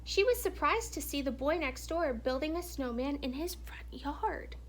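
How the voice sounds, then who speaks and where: Woman, high voice, children's picture-book narration, expressive, hushed dramatic phrasing. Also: normal speed. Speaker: teacher or reader reading a children's picture book aloud. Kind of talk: expressive storybook read-aloud narration.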